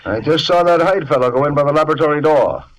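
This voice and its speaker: An actor playing Mr. Utterson, a brisk, probing gentleman lawyer, in 1940s radio drama style. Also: on the high side, lightly acted, poor audio. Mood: inquisitive. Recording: old radio recording with faint hiss.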